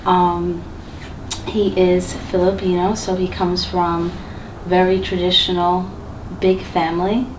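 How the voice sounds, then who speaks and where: A woman's voice: fairly low pitch, completely flat delivery, slow pace, background noise. A woman chatting casually in an interview, with lots of likes and ums. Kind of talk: personal interview. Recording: roomy sound from a distance.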